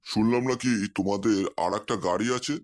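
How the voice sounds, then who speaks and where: A man's voice: fairly low pitch, fairly quick, natural voice. Man doing a nosy genial older uncle, comedy cartoon, super easily impressed. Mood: impressed, inquisitive. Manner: approving, inquisitive, repeats exclamations. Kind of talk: comic cartoon dialogue.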